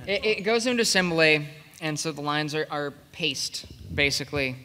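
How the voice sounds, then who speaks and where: A man with a slightly high, bright voice speaks on a panel in a reverberant hall. He explains in a halting, unscripted way, pausing to find his words. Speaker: panelist explaining audio production. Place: large convention hall, panel table.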